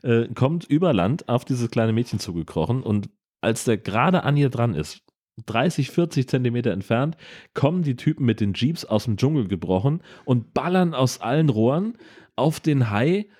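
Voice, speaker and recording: A man's voice: mid-range voice, very animated, moderate pace, darkish tone. A man with a German accent chatting casually and with dry irony as a podcast co-host about films. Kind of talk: casual film discussion podcast.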